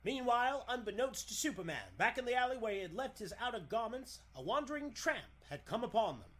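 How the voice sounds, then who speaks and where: A man delivers scripted narration as a 1940s radio announcer would. His delivery is dramatic, with very animated pitch. There is faint noise in the recording. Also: brisk.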